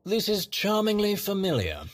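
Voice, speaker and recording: A man's voice: high voice, wildly swooping pitch, moderate pace. A man playing a haughty Sith sorcerer in a video game, speaking in a wry, sardonic and formal manner. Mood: wry, dry. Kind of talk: scripted video game acting.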